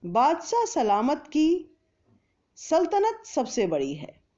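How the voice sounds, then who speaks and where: A woman's voice: high voice, wildly swooping pitch, takes it slowly, mellow, lo-fi sound, pitched above the performer's own voice, exaggerated voice. A woman voicing anxious, fawning male royal courtiers in a storybook, with a deferential, pleading delivery.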